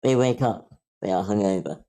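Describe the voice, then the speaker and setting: A man's voice: lowish voice, moderate pace, warm tone. A man reading stage directions aloud as narrator at an amateur script table read.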